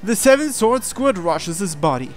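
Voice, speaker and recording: Male let's player, slightly high voice, dramatic visual novel narration with offhand asides, music underneath. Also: sing-song, moderate pace. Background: game music.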